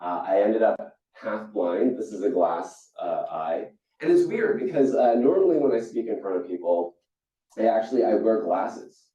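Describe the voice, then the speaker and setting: A young man from Singapore giving a keynote talk, telling stories in a lively way with many restarts. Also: normal speed. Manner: storytelling, animated with jumps in pitch and frequent restarts. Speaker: keynote speaker. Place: conference hall. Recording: echoey hall, distant and dull.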